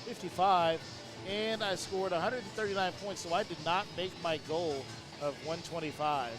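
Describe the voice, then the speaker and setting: A man hosting a pinball stream in a loose, rambling way, joking and restarting his sentences. His voice sits a bit high for a man. Music plays clearly behind him. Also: moderate pace. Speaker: stream host. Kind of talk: casual chat on a pinball stream.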